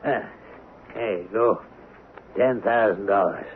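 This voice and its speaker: An actor playing a crooked, wary, miserly western gambler in a 1970s radio drama, with a folksy, gruff American drawl. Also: male voice, on the low side, takes it slowly. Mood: smug. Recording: noisy, muffled vintage radio recording.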